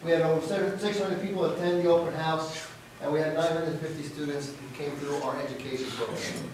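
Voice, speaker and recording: Hoarse male presenter, emphatic and proud, slightly high voice, booming room sound. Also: fairly even intonation, brisk, background noise. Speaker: organization leader presenting annual results. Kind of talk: annual meeting speech. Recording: very echoey hall, far from mic.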